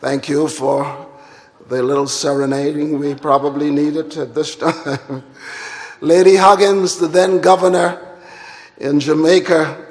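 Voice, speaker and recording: A man from Guyana, giving a formal and deliberate plenary address at a conference. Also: on the high side, fairly even intonation. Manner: measured, deliberate and formal, with long pauses. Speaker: conference speaker. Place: large conference hall. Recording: hall reverb.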